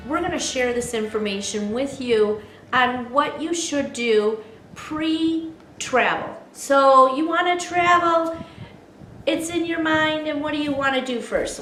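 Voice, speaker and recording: A woman's voice: sits high, a bit bright, echoey room, low-quality recording. A woman co-hosting a casual advice show, explaining travel preparation step by step and quizzing her co-host. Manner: explanatory, asks co-host quiz questions.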